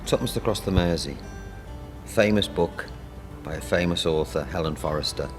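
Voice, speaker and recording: A man speaking as a playwright and producer in an interview, reminiscing in a halting, conversational way. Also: deep voice, in a large hall, over music.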